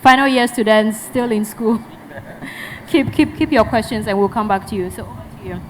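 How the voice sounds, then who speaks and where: Woman, panel moderator, halting speech, reverberant hall. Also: on the high side. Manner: halting, repeats words. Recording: reverberant hall with faint noise.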